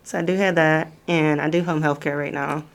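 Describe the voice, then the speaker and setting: Chatty young woman, lowish voice. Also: steady tone, a little fast. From Pittsburgh area. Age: 20s. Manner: chatty, candid, self-deprecating. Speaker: guest. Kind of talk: casual interview chat.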